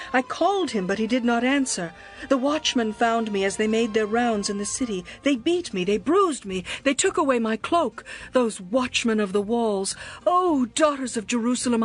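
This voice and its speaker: A woman's voice: on the high side, lightly acted. Yearning young bride, acted by a woman, music behind. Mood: anguished. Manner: poetic, expressive delivery. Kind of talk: dramatized scripture reading.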